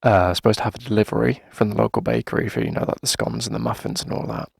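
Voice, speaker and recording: Man acting a gravelly, low-voiced friendly café owner. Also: fairly even intonation, fast talker, no room sound, clean studio sound, full character voice. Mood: weary, exasperated. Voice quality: creaky, gravelly. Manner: hesitant, chatty, sighing conversational delivery. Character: friendly, flustered male coffee shop owner venting to favorite customer. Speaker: ASMR roleplay performer. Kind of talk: casual ASMR roleplay, chatty one-sided conversation.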